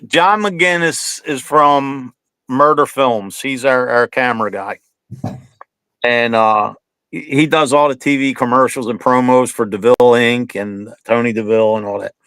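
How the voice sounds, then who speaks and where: A man's voice: mid-range voice, measured pace, a bit bright, clear, resonant tone, no room sound, low-quality recording. A man in his fifties, a guest on an online show, chatting loosely and telling stories, often calling his host "man". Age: 50s. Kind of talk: casual interview chat.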